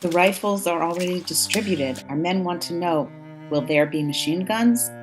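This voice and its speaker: Actress as sober resistance fighter, female, lowish voice, stern measured stage delivery, clear music bed. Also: close to an everyday voice. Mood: resolute. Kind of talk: stage drama, declaimed lines.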